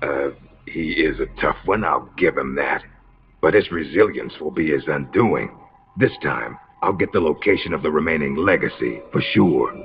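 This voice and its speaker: An actor playing a smug, menacing soldier who interrogates with casual cruelty in a video game, his voice gravelly. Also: expressive, moderate pace, slight background noise, heavily acted. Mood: cold, calculating. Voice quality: very deep, gravelly. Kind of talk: dramatic video game voice acting. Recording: dull compressed game audio with music.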